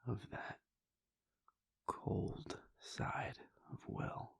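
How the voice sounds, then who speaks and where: A man voicing a brooding, conflicted male vampire prince in a whispered audio roleplay monologue, hesitant and breathy. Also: drawn-out words. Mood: wistful. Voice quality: gravelly, breathy. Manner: soft whispering, hesitant restarts.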